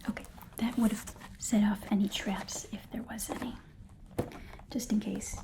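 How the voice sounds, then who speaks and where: A woman whispering softly and breathily in an ASMR roleplay as a playful, fussy appraiser of magical items. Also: medium pitch, steady tone, normal speed. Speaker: ASMR performer.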